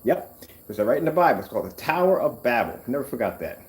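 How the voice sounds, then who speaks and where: A middle-aged man chatting casually while working in a workshop, wandering off topic with animated bursts. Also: medium pitch, fast talker. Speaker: screen paint maker.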